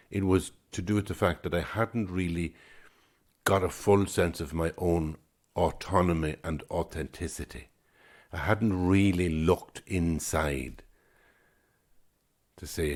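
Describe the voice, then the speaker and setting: Very deep, husky man musing. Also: a little mellow. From Ireland. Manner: musing, thoughtful, repeats words as he gathers thoughts. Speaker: podcast host. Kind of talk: reflective solo podcast talk.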